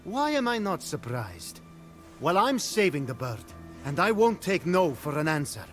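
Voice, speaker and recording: An actor playing an earnest, plucky young hero in a video game, sincere, dry and determined. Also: male voice, on the high side, sing-song, normal speed. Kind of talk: animated video game cutscene acting. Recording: music clearly behind.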